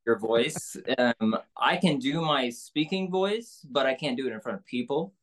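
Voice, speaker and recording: Woman with a low voice chatting in an interview, candid, lots of ums and restarts. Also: measured pace. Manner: relaxed, candid, many fillers and restarts. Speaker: singer-songwriter guest. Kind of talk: casual interview conversation.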